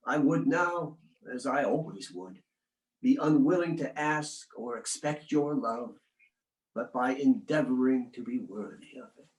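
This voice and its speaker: Man as penitent 19th-century husband, slow grave delivery, formal letter reading, echoing room. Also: mid-range voice, expressive, darkish tone, lightly acted. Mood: penitent, tender. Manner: grave, formal, deliberate oratory. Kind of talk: dramatic reading of historical letters.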